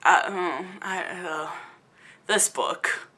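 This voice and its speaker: A woman's voice: lowish voice, drawn-out words, pushed and bright. A woman giving a casual, rambling video review in an exasperated, emphatic and incredulous manner. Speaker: book review vlogger.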